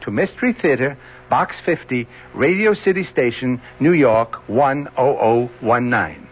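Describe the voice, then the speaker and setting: Husky male radio announcer with animated pitch. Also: unhurried. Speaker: radio producer making an announcement. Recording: muffled old radio broadcast.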